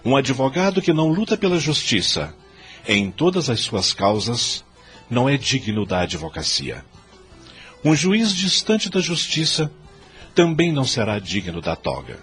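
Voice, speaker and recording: A man's voice: medium pitch, huge pitch swings, a little slow, fairly bright tone. Actor as declamatory, solemn male law professor. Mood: solemn, exhorting. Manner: declamatory, emphatic, formal. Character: solemn, high-minded law professor giving a graduation speech. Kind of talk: ceremonial oratory in an audio drama. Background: music.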